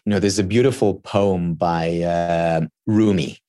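A low-pitched man whose first language is Hebrew, talking as an interview guest. He explains in an earnest way, builds his points with examples and circles back on words while he thinks. The sound is dry and close. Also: steady tone, unhurried, mellow, no room sound. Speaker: guest expert. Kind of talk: thoughtful interview talk.

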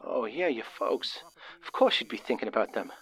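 An actor playing a nervous, excitable young working man of the 1930s, stammering and using folksy slang, in a radio drama. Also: fairly low pitch, moderate pace, slightly rough, exaggerated voice, poor audio. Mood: apologetic, concerned. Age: young adult. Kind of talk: old-time radio drama acting.

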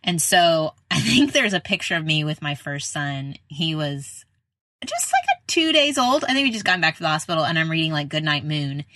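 A woman's voice: sits low, very animated, normal speed, pushed and bright, no room sound. A middle-aged woman hosting a podcast, chatting informally in a casual interview style. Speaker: podcast host, speech-language pathologist.

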